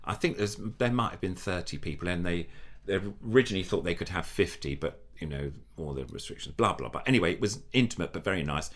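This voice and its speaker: Older male guest, very low nasal voice, rambling enthusiastic talker. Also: animated, fast, hardly any pauses. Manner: rambling, enthusiastic, many restarts. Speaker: puppeteer guest. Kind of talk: casual interview chat.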